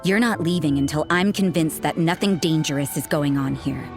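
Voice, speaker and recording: A woman's voice: deep voice, moderate pace. An actress playing a stern, suspicious young woman who speaks in a cool, clipped, distrustful way. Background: game music.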